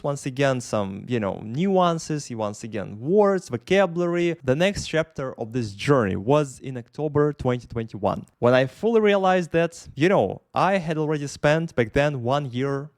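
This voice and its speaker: A man's voice: medium pitch, sing-song, normal speed, hardly any pauses, dry recording, clean studio sound. A man from Russia with a Russian accent, talking unscripted to his camera and rambling with many fillers and restarts. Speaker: YouTuber. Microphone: camera in front of him.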